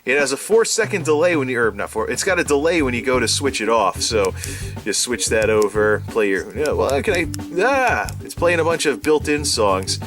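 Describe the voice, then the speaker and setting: A man's voice: mid-range voice, animated, moderate pace, fairly bright tone. Rambling man unboxing a gadget, music behind. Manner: rambling, self-interrupting, thinking aloud. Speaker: gadget unboxing video host. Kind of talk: casual unscripted product unboxing commentary. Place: indoors at a desk, small room.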